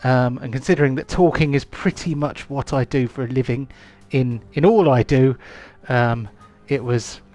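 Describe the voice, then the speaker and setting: Rambling game master, chatty. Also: male voice, mid-range voice, normal speed, few pauses, darkish tone. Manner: rambling, chatty, many ums and restarts. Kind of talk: live-play tabletop game intro.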